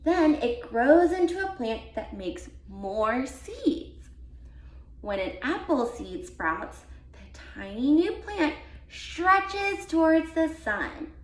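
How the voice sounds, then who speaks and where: A woman reading a children's picture book aloud as a volunteer, with deliberate, expressive emphasis for young listeners. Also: high voice. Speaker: volunteer read-aloud reader. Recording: roomy, echoey sound.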